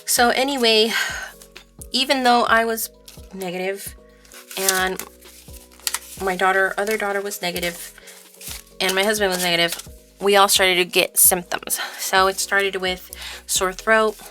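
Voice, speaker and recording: A woman vlogging about budgeting in casual, informal chat, speaking in a halting, stop-and-start way. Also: medium pitch, steady tone, bright, forceful tone, soft background music. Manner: informal, halting storytelling interleaved with counting. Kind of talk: casual chat while counting cash aloud.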